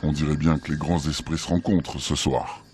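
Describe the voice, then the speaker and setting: An actor playing a coolly polite, threatening evil spirit in a comic radio serial. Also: male voice, extremely low pitch, level tone, a little fast, background noise. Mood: mock-polite menace. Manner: mock-courteous, threatening. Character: menacing evil male spirit, sinister criminal, coolly polite. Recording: music bed behind the voice.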